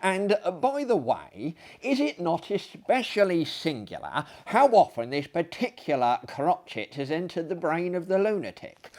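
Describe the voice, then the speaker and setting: Man voicing a chatty, pompous little fat gentleman. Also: fairly high pitch, voice pushed up. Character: fat little gossipy French dinner guest.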